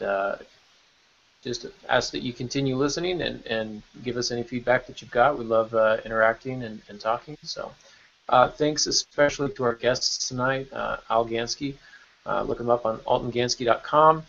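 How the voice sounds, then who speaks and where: A man's voice: mid-range voice, fairly even intonation, moderate pace, gravelly, a bit bright. A man hosting a casual podcast panel chat in a joking, rambling way over an online call. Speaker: podcast host. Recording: dull lo-fi online call audio.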